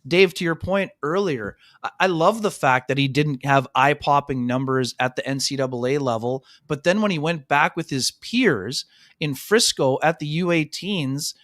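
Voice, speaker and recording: A man's voice: a little high, moderate pace, fairly bright tone, no room sound, clean studio sound. A man co-hosting a hockey radio show, talking casually with many "you know" fillers and calling his co-hosts "fellas". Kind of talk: casual sports talk radio chat. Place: radio studio.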